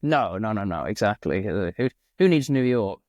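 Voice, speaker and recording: A man's voice: fairly low pitch, lively intonation, normal speed, a little mellow, no echo, clean studio sound. A man, a teacher and guest chatting casually, joking at his own expense with many fillers and restarts. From England, near Manchester. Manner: self-deprecating, jokey, with fillers and restarts. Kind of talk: casual conversation.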